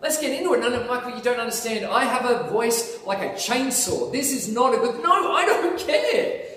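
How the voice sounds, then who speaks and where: A man preaching a sermon in a church sanctuary, speaking in an animated way with lots of emphasis. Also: very high voice, normal speed, slight background noise. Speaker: pastor. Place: church sanctuary, in front of altar. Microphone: lapel mic, filmed by far camera. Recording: echoey church hall from far off.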